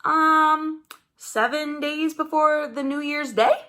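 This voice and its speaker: Woman as an eager, high-pitched storybook child. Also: fairly even intonation, takes it slowly, bright, forceful tone, exaggerated voice. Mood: curious. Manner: eager, questioning. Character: young child narrator, eager, curious, pleading.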